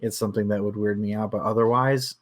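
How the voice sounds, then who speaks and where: A man hosting a casual book discussion, thinking aloud in a halting way and circling back over his phrases. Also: fairly low pitch, steady tone. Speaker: book club discussion host.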